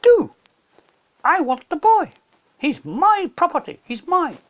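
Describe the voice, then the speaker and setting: A man's voice: extremely high pitch, very animated, slow pace, fairly bright tone, pitched above the performer's own voice, exaggerated voice. A man voicing a furious, imperious witch queen in a storybook reading, commanding and possessive. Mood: demanding. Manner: commanding, demanding.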